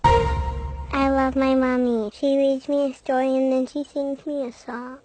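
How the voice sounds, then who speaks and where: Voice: sits high, flat delivery, unhurried. A childlike performer voicing a cute, chatty little cartoon bird child. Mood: earnest, sweet. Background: music.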